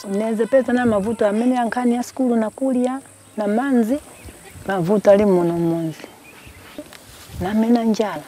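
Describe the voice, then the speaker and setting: A woman's voice: a little high, normal speed, pauses often, warm tone. A woman from a rural village, interviewed outdoors, plainly telling her own story. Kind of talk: documentary interview.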